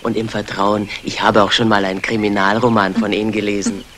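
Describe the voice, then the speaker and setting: An actor playing a polite, insinuating curate with a deliberate, unctuous manner in a 1960s German radio drama. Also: male voice, lowish voice, level tone, a bit bright, natural voice. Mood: polite, confiding. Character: courteous, insinuating male curate winning trust. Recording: faintly noisy old radio recording.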